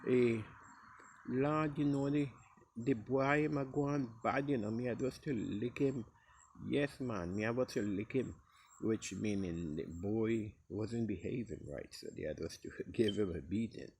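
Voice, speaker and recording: A man from the Caribbean coast of Costa Rica with a Costa Rican Caribbean English accent, telling stories casually in a relaxed way and acting out quoted speech in a higher voice. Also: unhurried, a little hiss or hum. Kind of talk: casual storytelling.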